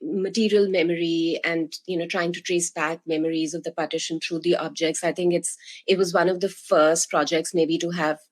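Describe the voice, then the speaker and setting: A woman moderating a panel, her voice a little lower than most. She is friendly and encouraging, linking her guests' answers with plenty of ums and you-knows. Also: steady tone, normal speed. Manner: friendly, encouraging, many fillers.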